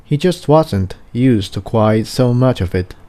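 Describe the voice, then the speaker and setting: A man reading a scripted story as narration, in a measured way with the odd stumble and repeated word. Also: medium pitch, lively intonation, warm tone. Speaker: amateur fan fiction audiobook reader.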